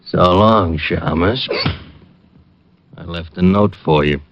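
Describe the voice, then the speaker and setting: Cold radio thug, deep slow taunting voice, dull old recording. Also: male voice. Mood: menacing. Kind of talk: 1950s radio drama acting.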